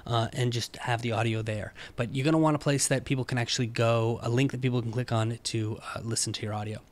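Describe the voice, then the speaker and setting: A man's voice: mid-range voice, fairly bright tone, no room sound. A man presenting a casual screen-share tutorial, talking informally through each step.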